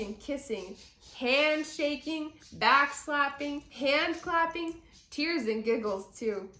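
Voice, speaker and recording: A high-pitched woman narrates a children's story. She takes it slowly, with deliberate dramatic phrasing, in a room with noticeable echo. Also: fairly bright tone. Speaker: storyteller reading a picture book aloud. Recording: roomy echo.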